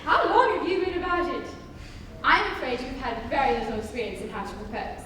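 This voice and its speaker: A woman's voice: sits high, very animated, a little fast. A young woman playing a poised, coy young society lady in love, arch and theatrical, in a stage reading of a Victorian comedy. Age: young adult. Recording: distant echoey hall, loud background noise.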